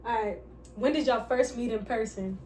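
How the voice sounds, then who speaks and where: A woman's voice: a little high, normal speed, darkish tone. Light-voiced woman, rambling from off mic. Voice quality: light, somewhat feminine voice. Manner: rambling, loses her train of thought. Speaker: vlogger answering couple questions. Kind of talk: casual mukbang chat. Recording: distant mic, faint hiss.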